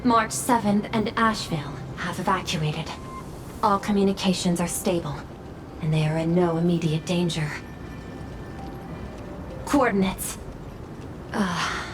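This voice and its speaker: A woman's voice: fairly low pitch, noisy background, lightly acted. A woman voicing a formal, logical robot intelligence in a game, announcing data in clipped, precise phrases. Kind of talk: scripted game voice acting. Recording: background music under the voice. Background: music.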